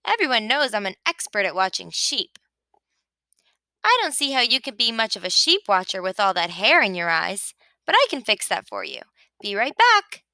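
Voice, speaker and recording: A woman's voice: mid-range voice, big pitch swings, normal speed, sharp tone, no room sound. A woman voicing a bubbly, cocksure female sheep in a children's picture book, breezy and exclaiming. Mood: breezy, bossy. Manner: breezy, self-assured, exclaiming.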